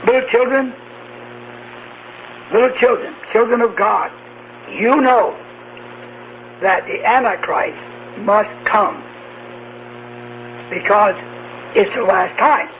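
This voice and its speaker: A man preaching a radio sermon in slow, halting phrases, posing rhetorical questions. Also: high-pitched, a bit bright. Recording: noisy humming shortwave radio broadcast.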